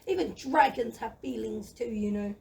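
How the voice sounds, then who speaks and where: A woman in a children's audio play voicing a gentle, lazy, timid dragon who chatters in a plaintive, excitable, wordy way. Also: a little high, moderate pace, natural voice. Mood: chatty, confiding. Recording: noisy, slightly distant microphone.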